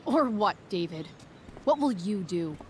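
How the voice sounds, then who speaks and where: A woman's voice: mid-range voice, animated, measured pace, slight background noise, close to an everyday voice. A woman playing a defensive, rebellious teenage girl with dry sarcasm in a video game cutscene. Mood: defiant, sarcastic.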